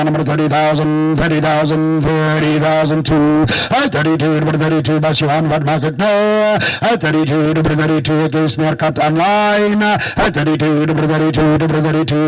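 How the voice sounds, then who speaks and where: A man's voice: on the high side, rough recording. A man with a South African Afrikaans accent working as a livestock auctioneer, calling in a repetitive, rhythmic auction style. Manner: repetitive rhythmic bid calling, switching between two languages. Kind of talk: auction chant and commentary.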